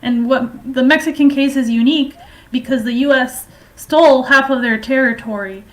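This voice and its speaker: A woman giving informal political and historical commentary in a halting, hesitant way, explaining at length. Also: high voice, poor audio.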